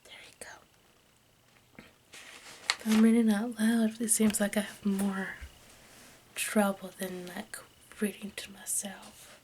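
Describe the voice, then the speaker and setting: A woman reading aloud in ASMR style in a soft, breathy whisper and hushed speech, halting as she goes. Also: mid-range voice, little pitch movement. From United States. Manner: halting, stumbles over names and words. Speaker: ASMR video creator. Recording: faint background noise.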